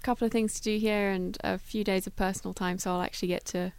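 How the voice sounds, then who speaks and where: Flat-toned gravelly woman. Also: medium pitch, quick pace, dry recording. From Zimbabwe. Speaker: guest, travel philanthropy worker. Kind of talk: studio interview. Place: studio.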